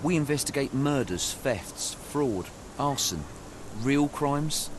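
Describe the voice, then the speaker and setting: A blunt sergeant, noisy background. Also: male voice, mid-range voice, expressive, slow pace. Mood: dismissive. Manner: blunt, unmoved. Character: stolid, dismissive male police sergeant. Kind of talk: video game cutscene acting.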